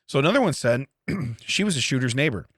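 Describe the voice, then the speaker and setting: Guy cohosting a comedy podcast, really lively pitch, wry jokey asides, dry close sound. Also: fairly quick, husky, dry recording. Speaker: podcast co-host. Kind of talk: casual comedy chat, reading and riffing on a news story.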